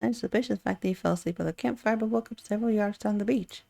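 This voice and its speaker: Woman as a wry, mellow game narrator. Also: medium pitch, racing, gravelly, natural voice. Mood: wry, teasing. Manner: sardonic, teasing, addresses the player directly. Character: wry, chatty omniscient, unreliable narrator of island game.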